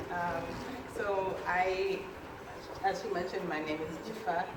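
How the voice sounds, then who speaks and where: A woman panelist introducing her work, relaxed and full of fillers. Her voice comes from a distance in an echoey room, with loud noise around her. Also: lowish voice. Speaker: panel speaker, statistician. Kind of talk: self-introduction at an event.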